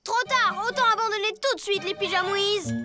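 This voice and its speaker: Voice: very high-pitched, fairly quick, no room sound, studio quality, heavily acted. An actor voicing a boastful, scheming young boy villain in a French cartoon, with pompous, theatrical delivery over music. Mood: smug gloating. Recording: loud music behind the voice. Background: loud cartoon score.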